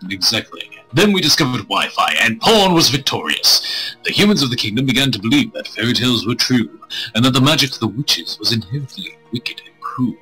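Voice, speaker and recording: A man streaming a visual novel, reading it aloud with crude, irreverent joking asides, in a husky voice. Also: mid-range voice, expressive, brisk, bright, forceful tone, rough recording. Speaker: game streamer. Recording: music playing behind the voice.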